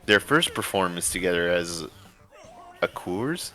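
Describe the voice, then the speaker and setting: A man's voice: low voice, expressive, measured pace, pushed and bright. A man reading a story aloud with casual asides, sometimes stumbling over his words and correcting himself. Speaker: story reader and show host.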